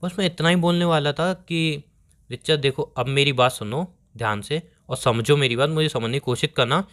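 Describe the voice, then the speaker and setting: A man acting as a harried young boyfriend with a fairly high voice. He is placating and whiny, repeating himself as he pleads to explain his side of a lovers' quarrel. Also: normal speed, fairly bright tone, lightly acted. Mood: defensive. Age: young adult.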